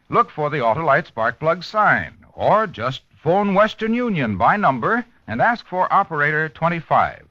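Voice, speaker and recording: An American man giving an emphatic, jovial sponsor pitch as a 1950s radio commercial announcer. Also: no room sound. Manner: emphatic, jovial, alliterative salesman patter. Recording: dull vintage radio recording.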